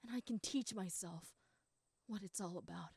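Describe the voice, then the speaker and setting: A woman playing a proud, competitive schoolgirl rival in a romantic roleplay, speaking in an earnest, blunt, halting and easily flustered way. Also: medium pitch, a little mellow, breathy edge, dry recording. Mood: earnest, frustrated. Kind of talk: roleplay audio acting addressed to the listener.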